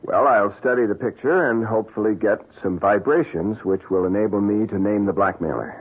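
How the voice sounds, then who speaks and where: A man's voice: on the low side, brisk, slightly rough. A man acting in a radio drama as a slick, money-hungry agency boss with a salesman's hedging manner. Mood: persuasive. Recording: muffled old radio broadcast.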